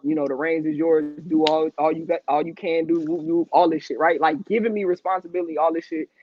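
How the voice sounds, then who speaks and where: A man's voice: on the high side, steady tone, brisk, dry recording. A man in his twenties, the guest of an interview, telling his life story in casual, profane street talk with frequent laughs. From Long Beach, California. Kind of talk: candid storytelling. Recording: dry, muffled lo-fi audio.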